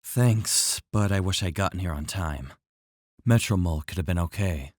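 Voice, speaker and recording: Very deep, dry-sounding superhero. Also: male voice, normal speed, darkish tone, no echo. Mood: grave. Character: grave, courteous male superhero, steady and authoritative. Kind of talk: audio drama acting.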